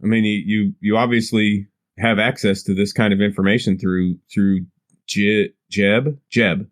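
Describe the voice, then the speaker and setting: A man's voice: fairly low pitch, steady tone, a little slow, dry recording, clean studio sound. A man playing a tabletop role-playing game, talking casually in character.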